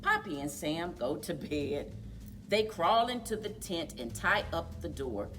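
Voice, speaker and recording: A woman reading a children's story aloud in a deliberate, expressive way for young listeners, as a story time host. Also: lowish voice, far from the mic.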